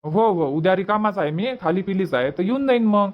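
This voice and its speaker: A man's voice: high-pitched, lively intonation, a little fast, muffled recording. A man playing an easygoing, obliging village uncle in a cartoon comedy, chatting with a Varhadi Marathi accent. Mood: agreeable.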